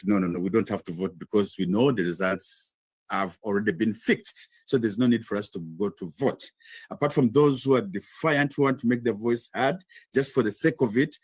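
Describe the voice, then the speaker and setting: A man's voice: mid-range voice, husky, dry recording. A man from Uganda reporting live as a correspondent in a news interview, explaining at length with frequent fillers.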